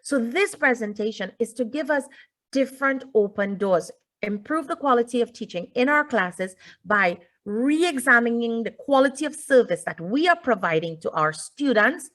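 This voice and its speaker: A woman, a native English speaker, giving an informal online lecture as a teacher, explaining things with deliberate pauses and emphasis. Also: a little high, animated, fairly bright tone. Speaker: webinar presenter, teacher. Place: indoors, online video call.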